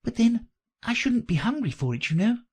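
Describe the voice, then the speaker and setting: A reader voicing a polite, curious little girl in a storybook, prim and questioning, quick to take offence. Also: medium pitch, big pitch swings, darkish tone, breathy edge, pitched above the performer's own voice. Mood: thoughtful.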